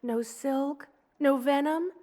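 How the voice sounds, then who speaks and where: A woman voicing a gentle, doting spider queen in an intimate ASMR roleplay, soothing and tender in manner. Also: high-pitched. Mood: gently amused, explaining. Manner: soothing, tender, coaxing, with slow careful delivery.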